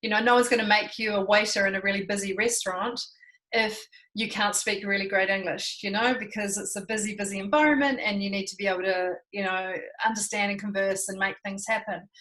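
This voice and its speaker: Relaxed woman with a New Zealand accent. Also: mid-range voice, low-quality recording. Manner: relaxed, reassuring, fluent with light fillers. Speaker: co-host. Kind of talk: casual conversational chat.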